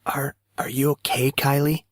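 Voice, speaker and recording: A man voicing a calm, steady teenage boy who speaks quietly and directly. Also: medium pitch, moderate pace, no room sound, clean studio sound, voice pushed up, close to an everyday voice.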